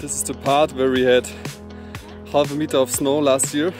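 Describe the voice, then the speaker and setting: A young man from Germany talking to his phone camera outdoors in the mountains, in a relaxed, halting way. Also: mid-range voice, over music. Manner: relaxed, halting, plain-spoken. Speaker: hiking vlogger. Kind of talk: casual vlog narration. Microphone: phone at arm's length.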